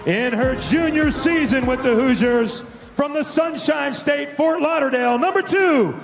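Male sports announcer, slow drawn-out delivery, gravelly edge, dramatic shouting, echoey arena PA. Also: very high voice. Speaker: arena announcer introducing players. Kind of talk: hyped sports arena player introductions, drawn-out names. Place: large indoor basketball arena. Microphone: arena public-address microphone. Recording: echoey arena PA, music underneath. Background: music.

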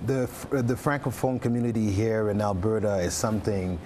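A man's voice: fairly low pitch, fairly quick, dark tone, a little hiss or hum. A middle-aged man hosting a casual studio interview on a lapel microphone. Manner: speaks English with occasional French words. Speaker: TV show host.

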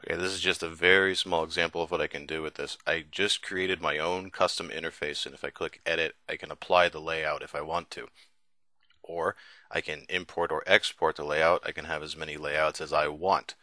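A man giving a casual, enthusiastic software demo in an informal way, as a reviewer narrating a screencast. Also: a bass voice, little pitch movement, a little fast, dry recording. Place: indoors, voice-over on a screen recording. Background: music playing behind the voice.

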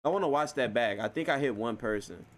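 A man's voice: mid-range voice, expressive, fast talker. A man streaming a roleplay game, talking casually in profane street slang.

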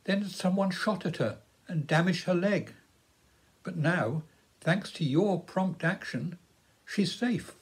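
An older English man voicing a gentle, kindly recluse who tends wild birds in a storybook. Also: sits high, animated, slow, husky, exaggerated voice, rough recording. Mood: explaining. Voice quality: quiet, gentle.

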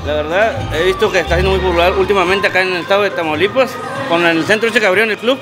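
A man's voice: high voice, rapid-fire. A man in his thirties with a northern Mexican accent, a bartender giving casual interview answers. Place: indoor club bar. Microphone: handheld interviewer microphone. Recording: music playing behind.

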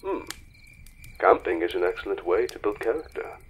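An actor playing a tender older king and father in an audio drama, gentle, measured and formal. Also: low-pitched, expressive, slightly rough, poor audio. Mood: fond, wry.

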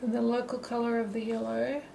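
A woman with a slightly high, gravelly voice explains her watercolour steps as she paints, relaxed and unhurried. Her delivery stays quite even in pitch. A faint hum of noise sits under the camera-mic recording. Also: rough recording. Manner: relaxed, thinking aloud while painting. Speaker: watercolour teacher. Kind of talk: painting tutorial narration. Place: holiday apartment, at a table indoors. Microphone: camera mic above the table.

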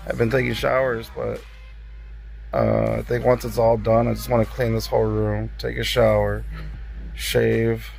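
A young man with a hoarse, raspy voice, recording a casual video diary close to his phone camera in a bedroom. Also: medium pitch, flat delivery, a little mellow, faint music behind. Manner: slow, subdued, many fillers. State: hoarse from illness. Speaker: vlogger. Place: bedroom indoors. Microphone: phone camera close to face.

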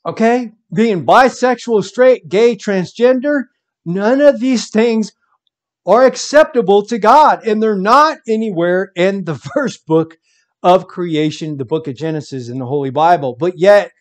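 A man's voice: sits high, measured pace. A man preaching from home, reading scripture aloud and commenting on it with emphasis.

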